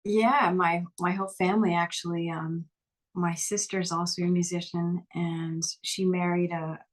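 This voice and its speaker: Upbeat woman with a flat, lowish voice. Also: moderate pace. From Lehigh Valley, Pennsylvania. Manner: upbeat, chatty, frequent restarts and laughs. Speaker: musician guest. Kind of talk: casual interview chat.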